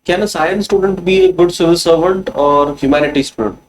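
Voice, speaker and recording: A man with an Indian accent, formally questioning a candidate as an interview panelist in a measured, probing way. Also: high-pitched, rough recording.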